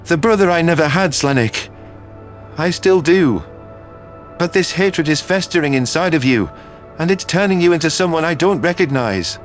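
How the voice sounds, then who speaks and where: A man's voice: a little high, lively intonation, moderate pace, faint music behind, lightly acted. An actor playing a concerned, loyal human man in earnest, emphatic dialogue from a sci-fi audio drama. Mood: pained, tender.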